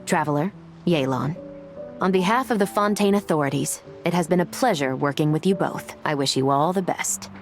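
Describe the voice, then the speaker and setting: A woman playing a stern, principled official in a video game, speaking in a formal, firm and measured way. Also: low-pitched, over music. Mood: formal, courteous. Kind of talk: dramatic video game voice acting.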